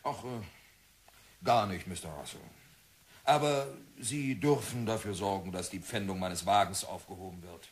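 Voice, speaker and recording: A man playing a stubborn, older Canadian diamond magnate in a 1960s radio play, speaking in blunt, curt refusals with a husky voice. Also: deep voice, measured pace. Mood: weary. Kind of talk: 1960s radio drama acting. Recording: old radio play recording.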